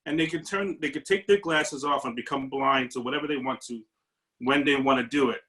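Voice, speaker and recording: A man's voice: mid-range voice, brisk, pushed and bright. A man, a paramedic, sharing an earnest, searching reflection in a discussion over a video call. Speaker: paramedic audience member.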